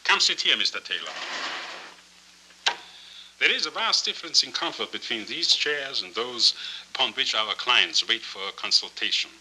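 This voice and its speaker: An actor in a 1940s film noir playing a cynical, fallen small-time swindler with formal, theatrical, silkily courteous speech. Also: male voice, medium pitch, lively intonation, normal speed, long pauses, pushed and bright, poor audio. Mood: silky, wry. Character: florid, cynical small-time chiseler, fallen grand scoundrel. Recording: hissy 1940s film soundtrack.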